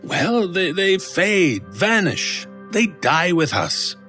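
A man's voice: high-pitched, sing-song, slow pace, bright, forceful tone, exaggerated voice. An actor playing a flustered, skeptical man in a horror audio drama, theatrical and hesitant in delivery, with music underneath. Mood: skeptical, puzzled. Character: flustered, skeptical shop man in a ghost story.

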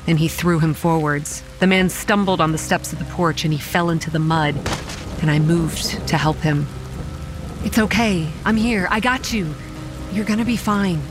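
A woman's voice: lowish voice, steady tone, close to an everyday voice. Actress as haunted, wry young woman narrating horror. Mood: soothing. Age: young adult. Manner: grave, measured storytelling with dry sarcastic asides. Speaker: narrator and lead actor. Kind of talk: dramatic first-person horror audio drama narration. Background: quiet music and rain.

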